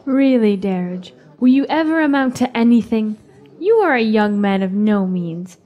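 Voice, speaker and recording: A woman's voice: a little high, lively intonation, normal speed, dull audio, close to an everyday voice. A woman playing a haughty, ambitious young village beauty in a fantasy radio drama, with an airy manner. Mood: scornful.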